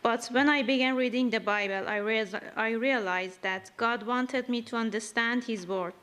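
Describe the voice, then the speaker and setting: A woman who learned English as a second language reads her testimony slowly and carefully. Her voice sits a little high, and she keeps an earnest, steady tone. She speaks in a church. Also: clean tone. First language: not English. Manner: slow, earnest, careful reading. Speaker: church member giving a testimony. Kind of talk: reading a prepared testimony.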